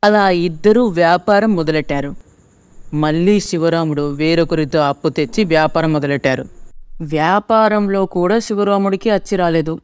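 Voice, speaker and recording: A man's voice: on the high side, fairly even intonation, normal speed, smooth, dry recording. A man narrating a scripted cartoon story as its storyteller.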